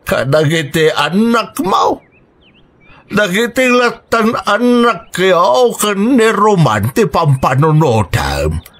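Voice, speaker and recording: High, swooping, reproachful old Ilocano father. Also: fairly bright tone, full character voice. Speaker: radio drama actor. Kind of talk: Filipino radio drama acting. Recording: music bed under dialogue. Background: music.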